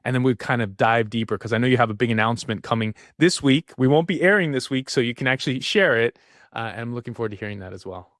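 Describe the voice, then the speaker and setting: A man's voice: medium pitch, fast, fairly bright tone, no echo, studio quality. A man hosting a podcast interview, talking in an enthusiastic, rambling way with frequent restarts. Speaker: podcast host. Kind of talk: casual interview chat.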